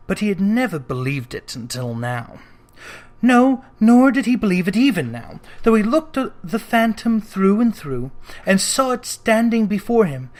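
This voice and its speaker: A narrator reading a classic tale aloud as dramatic storybook narration. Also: medium pitch. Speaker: narrator, reader.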